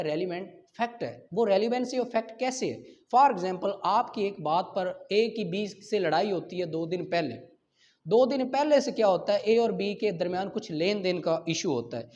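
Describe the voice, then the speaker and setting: A man's voice: high voice, a little mellow. A Pakistani man lecturing as a law teacher, explaining step by step in a didactic way, often asking questions and answering them himself. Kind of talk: explanatory exam-prep lecture mixing Urdu with English legal terms. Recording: dull lo-fi lecture audio.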